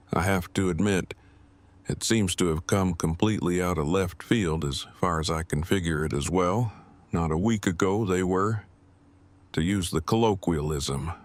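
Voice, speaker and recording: An actor playing an awkward, earnest man who speaks in a formal, wordy, deliberate way in a fan audio drama. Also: low-pitched, no echo.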